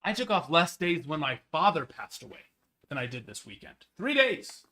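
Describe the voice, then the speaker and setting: A man hosting a sports card break livestream, chatting casually with viewers in a slightly hoarse voice. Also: big pitch swings, moderate pace, bright, forceful tone. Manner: chatty, rambling, upbeat sales pitches.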